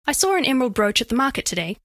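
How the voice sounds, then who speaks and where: A high-pitched female alien of living diamond for a video game. She is bashful yet flirtatious, curious and literal-minded, with formal, carefully precise wording. The recording is very dry, with no room sound. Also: sing-song, racing, a bit bright, no echo. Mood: flirty, earnest. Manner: precise, stilted formal diction, earnest, coyly playful. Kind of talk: scripted game character voice lines.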